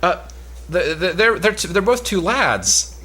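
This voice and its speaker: A man's voice: high voice, sing-song, a little slow, bright, forceful tone, breathy edge, pitched above the performer's own voice, full character voice. Man playing a puzzled, stammering page boy. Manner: hesitant stammer. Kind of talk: comic Elizabethan stage drama, cold read.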